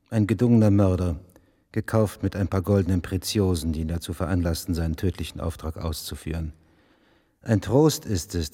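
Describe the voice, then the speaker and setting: Ein Schauspieler spielt in einem deutschen Hörspiel einen förmlichen, ausweichenden, selbstgefälligen Beamten mit gemessener, gewichtiger Redeweise. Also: male voice, deep voice, dark tone, breathy edge. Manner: measured, formal, evasive, pompous. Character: guarded, smug magistrate's official, formal and evasive. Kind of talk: German radio play acting. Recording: long reverberant hall.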